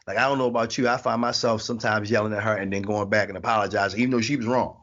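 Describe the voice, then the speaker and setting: A man in his forties chatting as an interview guest, relaxed, laughing often and repeating his phrases. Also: lowish voice, very fast, highs cut off. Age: 40s. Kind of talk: casual interview chat.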